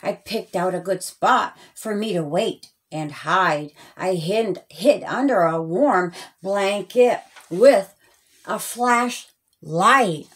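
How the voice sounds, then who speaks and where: A woman reading a rhyming children's story aloud in a deliberate, sing-song way, as a storyteller. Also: medium pitch.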